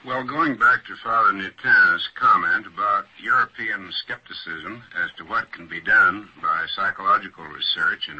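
An American man speaking as a professor in a formal scholarly radio discussion, measured and articulate. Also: low-pitched. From United States. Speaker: professor on a panel. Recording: dull, noisy vintage radio recording.

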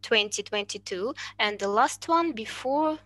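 A woman in her thirties answering questions in a university webinar, speaking careful, slightly halting English over a video call. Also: on the high side, lively intonation, a bit bright, low-quality recording. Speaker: university admissions presenter. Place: indoors with a virtual background. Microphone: headset on a video call.

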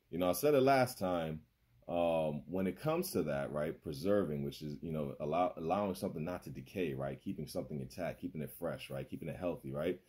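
Low husky-voiced man preaching casually. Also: darkish tone. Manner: conversational, laughs often, keeps asking "right?". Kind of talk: casual sermon over a video call. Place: small room indoors. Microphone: phone held close.

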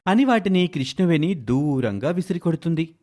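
Man, scripted story narration, raised pitch, highly animated intonation. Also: moderate pace.

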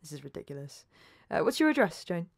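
A hesitant, downtrodden British call-centre worker in an audio drama. She talks informally and haltingly in a slightly low female voice, recorded very dry. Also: big pitch swings, gravelly, slightly rough, no echo, exaggerated voice. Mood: concerned, flustered.

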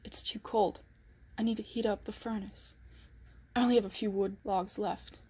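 A woman playing an anxious, secretive killer in a dramatic first-person period monologue, with a husky voice. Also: medium pitch, fairly even intonation, moderate pace, dark tone, a little hiss or hum, natural voice. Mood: panicked. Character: anxious, secretive 1912 killer snowed in at home.

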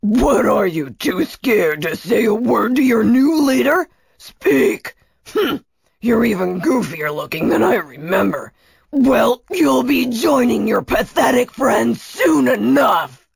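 A woman voicing a bossy, easily enraged male Tiki chief in a children's story, shouting and taunting. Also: fairly high pitch, animated, slow pace, gravelly, full character voice. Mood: gloating, taunting.

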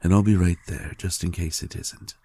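A man playing a tender, adoring vampire lover in an intimate audio roleplay, gently teasing in a hushed, halting, gravelly voice. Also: fairly even intonation. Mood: reassuring, seductive. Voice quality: very deep, gravelly and husky. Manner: slow, hushed, halting with pauses.

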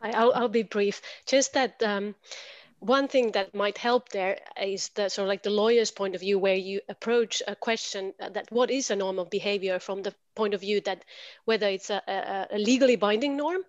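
A woman's voice: mid-range voice, moderate pace, no echo, dull audio. A woman on a panel call, explaining a point carefully from a lawyer's angle. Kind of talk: panel discussion. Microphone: headset on a video call.

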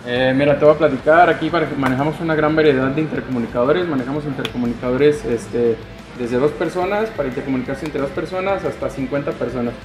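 A man's voice: mid-range voice, quick pace, music in the background. Casual Mexican salesman in echoey shop. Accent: Mexican Spanish. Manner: casual, many "este" fillers. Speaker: sales adviser. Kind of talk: product explanation. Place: motorcycle gear shop, indoors.

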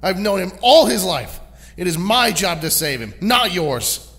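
A man's voice: high voice, big pitch swings, unhurried, a bit bright, exaggerated voice. A man playing a passionate, protective older brother and young hero in an audio drama, intense and insistent. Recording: long hall reverb.

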